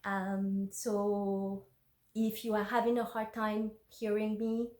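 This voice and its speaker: A woman's voice: medium pitch, completely flat delivery, takes it slowly, darkish tone. A woman whose first language is French, an intuitive energy worker who speaks hesitantly with many ums and uhs in a personal vlog and then reads her journal aloud. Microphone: phone. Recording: roomy phone recording.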